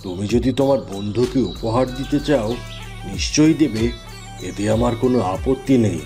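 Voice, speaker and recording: A man's voice: mid-range voice, normal speed, mellow, soft background music, lightly acted. A man voicing a kindly, solemn magical tree in a fairy tale cartoon, speaking in a reassuring, instructive way. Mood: benevolent, instructive.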